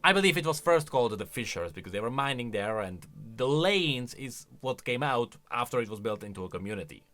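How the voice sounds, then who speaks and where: Guy reacting to game lore, bright higher-ish voice, really bouncy pitch, thinking out loud, faint music under. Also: fairly quick, few pauses. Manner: expressive, emphatic, thinking aloud. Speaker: video game lore commentator. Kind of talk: casual reaction commentary.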